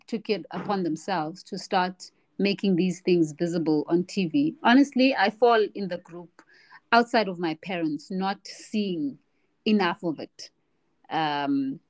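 A woman's voice: fairly low pitch, darkish tone. A young woman from Africa, co-hosting a casual podcast chat, talking reflectively and searching for words with frequent restarts. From South Africa. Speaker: podcast co-host.

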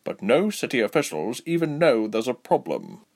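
A man voicing a calm, encouraging male elf soldier in a fantasy storybook. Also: mid-range voice, normal speed, vocal fry. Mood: reassuring.

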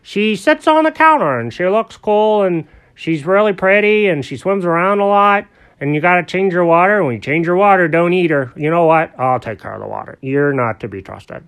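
A woman's voice: fairly low pitch, animated, a little fast, pitched above the performer's own voice. A woman voicing a kindly adult man who patiently explains things to his cat. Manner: gentle, patient and coaxing. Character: patient kindly adult male pet owner.

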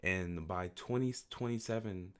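A man hosting a casual solo podcast, a little sick and slightly raspy, talking conversationally with frequent "you know" asides. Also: low voice, measured pace, a bit bright. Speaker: podcast host.

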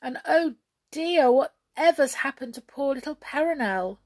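Little storybook brownie boy, played by a woman: high, tearful, anxious, wailing and pleading like a naughty culprit. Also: lively intonation, normal speed. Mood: miserable. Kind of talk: children's storybook character.

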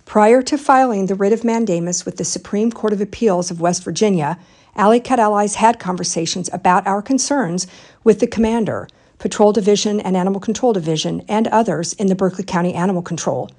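A woman, an organization's president, delivering a prepared statement in a formal, measured and emphatic way. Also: medium pitch, a little mellow.

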